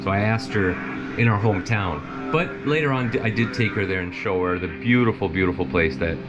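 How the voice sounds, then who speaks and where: A man's voice: lowish voice, fairly quick, muffled recording, music in the background. A middle-aged man from Romania, chatting casually and rambling as he streams a flight and talks to his chat, laughing often. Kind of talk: casual live-stream commentary.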